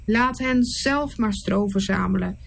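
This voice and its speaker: A woman's voice: medium pitch, unhurried, vocal fry, husky. A woman voicing a proud, stubborn Egyptian king who mocks and dismisses in a raised voice. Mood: dismissive. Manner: mocking, dismissive.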